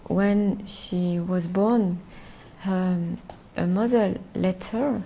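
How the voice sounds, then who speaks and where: A middle-aged woman from France with a French accent, speaking English as a second language in a reflective interview, halting and searching for words. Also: lowish voice, creaky, dark tone, poor audio. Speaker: documentary interviewee.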